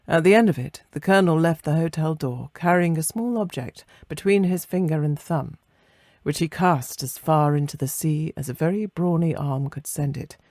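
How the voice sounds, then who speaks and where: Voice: mid-range voice, normal speed, a little mellow, no room sound. Dry, close storyteller reading a ghost story. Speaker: narrator, story reader. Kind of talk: scripted narration of a classic ghost story.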